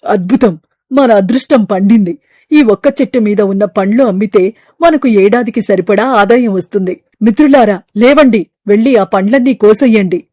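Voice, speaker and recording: A woman voicing a proud leader bird in an animated moral story, speaking in an emphatic, declamatory way. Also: mid-range voice, normal speed, no room sound, studio quality, natural voice. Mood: excited, greedy. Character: proud business-minded leader bird, later remorseful.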